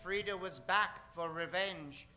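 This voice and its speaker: A man's voice: high-pitched, a little slow, pushed and bright. A man narrating and announcing in a theatrical old-time radio style, melodramatic and playful.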